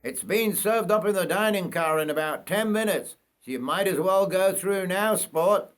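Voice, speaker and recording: A cheery train steward from a storybook, played by a man. His voice is lifted high. He speaks in a chirpy, colloquial way, like someone offering service. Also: normal speed, lightly acted.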